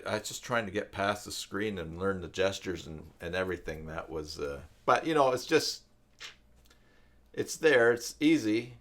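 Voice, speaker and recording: Low-voiced man chatting. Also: a bit bright. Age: 50s. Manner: rambling, conversational. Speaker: live stream host and tutorial maker. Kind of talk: casual live stream chat. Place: downstairs room at home.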